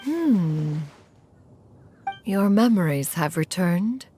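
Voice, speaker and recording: A woman's voice: lowish voice, animated, extremely slow, dark tone, soft background music. A woman voicing a dignified ancient female crane deity who speaks formally, calling herself "one". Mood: composed.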